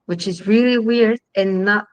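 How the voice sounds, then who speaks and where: A woman filmmaker from Chile with a Chilean Spanish accent, speaking English in a halting way full of ums and restarts. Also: mid-range voice, no echo. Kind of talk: festival Q&A talk.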